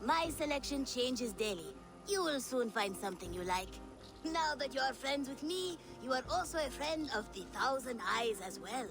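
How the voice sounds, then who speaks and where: An actress voicing a shrewd, self-assured female merchant in a video game, with smooth, formal salesmanship. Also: sits high, expressive, moderate pace, over music. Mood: sly, inviting.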